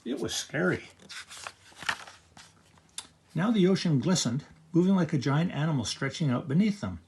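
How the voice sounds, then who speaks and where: An older man reading a picture book aloud to children as a grandfatherly storyteller, with expressive narration. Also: a little high, normal speed, creaky.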